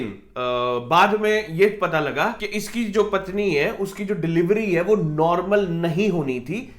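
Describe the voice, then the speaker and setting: A young man, hosting a sarcastic roast reaction and mocking in quick jabs. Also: a little high, fairly bright tone. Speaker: host of a roast reaction channel. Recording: echoey room.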